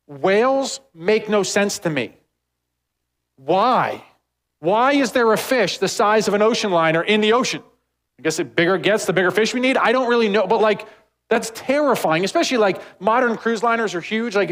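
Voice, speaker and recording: A pastor preaching a casual, rambling sermon, full of "like" and asides to the congregation. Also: male voice. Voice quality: says he has a deep voice. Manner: rambling, animated, heavy use of "like".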